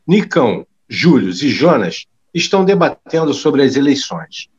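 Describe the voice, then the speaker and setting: A man's voice: mid-range voice, sing-song, unhurried, slightly rough, lo-fi sound. A man reading scripted narration for an audio drama, describing scenes as narrator.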